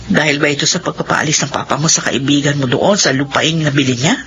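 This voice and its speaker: A woman's voice: low-pitched, fast, fairly bright tone, a little hiss or hum. An actress playing an anxious, tender, devout older mother figure with a Filipino accent, in an emotional, pleading radio drama style. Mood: worried, reproachful. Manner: emotional, pleading, swooping delivery. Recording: band-limited radio drama, music behind.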